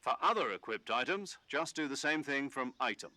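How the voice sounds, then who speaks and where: A military commander from a video game, calm and in full authority. His voice is crisp-edged and bright, recorded very dry. He briefs in a measured, instructive way. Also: medium pitch, no room sound. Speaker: mission commander giving radio briefing. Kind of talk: scripted video game voice acting.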